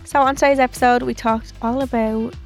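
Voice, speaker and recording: A woman's voice: on the high side, steady tone, fairly quick, faint music behind. A woman co-hosting a podcast, chatting casually, swearing and laughing. She is stuffed up with a cold. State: congested with a cold. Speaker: podcast co-host. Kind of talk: casual chat.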